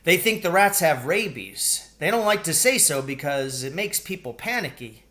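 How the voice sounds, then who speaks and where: Earnest know-it-all farm boy, storybook dialogue, raised pitch adult male reader performs. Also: sing-song, moderate pace, pushed and bright.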